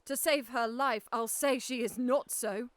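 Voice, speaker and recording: A woman's voice: fairly high pitch, fairly even intonation, a little slow, no echo, natural voice. An actress playing a widowed queen who mourns her sons and accuses the king in impassioned verse. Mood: defiant grief. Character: grieving widowed queen, fierce mother. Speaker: actor. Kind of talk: Shakespearean audio drama.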